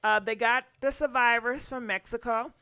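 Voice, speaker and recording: A woman's voice: fairly high pitch, steady tone, moderate pace, sharp tone, clear, resonant tone. A woman hosting a casual call-in show, chatty with many fillers as she prompts her callers. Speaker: host.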